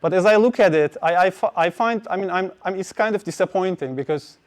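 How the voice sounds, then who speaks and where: A man's voice: sits high, brisk. A man giving a technical conference talk, hesitant, with many fillers and restarts. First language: Turkish. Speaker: conference speaker.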